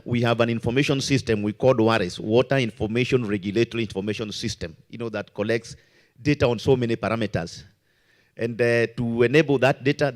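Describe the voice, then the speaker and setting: A man giving panel remarks in a conference meeting room. He talks haltingly and stops often to fill gaps. His voice sits at an ordinary pitch for a man. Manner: hesitant, many fillers and restarts. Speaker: water regulator panelist.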